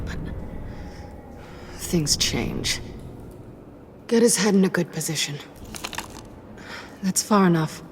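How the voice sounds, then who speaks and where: An actress voicing a guarded, wry thief for hire in a video game drama, speaking in a businesslike way. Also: female voice, lowish voice, a little mellow, natural voice. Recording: faint background noise.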